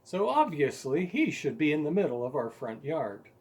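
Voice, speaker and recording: A man's voice: on the high side, sing-song, moderate pace, husky, full character voice. A man voicing a stubborn, indignant eight-year-old boy in a storybook, insistent, pleading and emphatic. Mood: loud, determined. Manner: insistent, pleading, growing louder and more emphatic.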